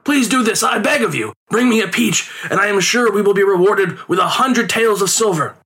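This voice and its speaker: A man's voice: sits high, lively intonation, brisk, bright, forceful tone, voice pushed up, full character voice. A man voicing an anxious old male street conjurer who frets and pleads in a theatrical way. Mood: pleading.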